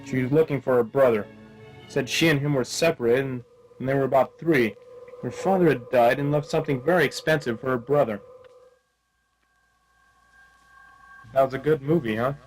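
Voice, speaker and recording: Man playing deadpan hard-boiled private eye. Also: medium pitch, fast talker, faint music behind, lightly acted, poor audio. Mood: world-weary. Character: hapless, wry hard-boiled male private detective. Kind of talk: comic noir radio play narration and acting.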